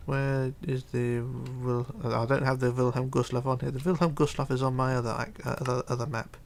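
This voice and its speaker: Streamer guy, gravelly voice, rambly chat-reading, light hiss behind. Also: medium pitch, little pitch movement. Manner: rambling, chatty, halting with fillers and restarts. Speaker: Minecraft livestreamer. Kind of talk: casual livestream, reading chat aloud.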